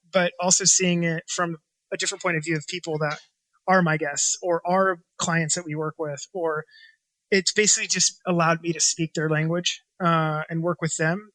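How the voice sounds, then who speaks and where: A chef and restaurant owner from Washington State, talking casually in an interview and rambling with lots of fillers and restarts. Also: male voice, fairly high pitch, sharp tone, no room sound, poor audio. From Washington State, USA.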